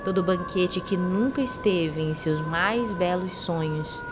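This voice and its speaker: A woman playing a seductive, sassy mermaid in an audio drama, speaking in a soft, delicate, beguiling way. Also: lowish voice, slow, background noise. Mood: tender, seductive.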